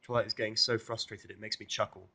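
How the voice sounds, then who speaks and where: Low-pitched man narrating a story. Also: level tone, fast, sharp tone, breathy edge. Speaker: fan fiction reader and narrator. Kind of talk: scripted first-person story narration.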